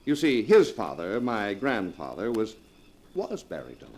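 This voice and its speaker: A man's voice: fairly low pitch, huge pitch swings, normal speed, darkish tone, slight background noise. An actor playing a suave, sardonic man with macabre humour, theatrical and condescending, in 1940s radio drama style. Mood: sardonic, amused.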